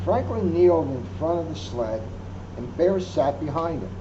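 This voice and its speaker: A police officer reading a children's picture book aloud, adding wry asides. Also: male voice, fairly high pitch, animated, moderate pace. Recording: muffled lo-fi recording with faint hum.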